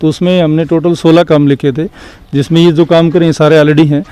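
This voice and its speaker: A man's voice: a little high, flat delivery, brisk, dark tone, smooth. A young man, a village head answering interview questions earnestly and explaining with examples.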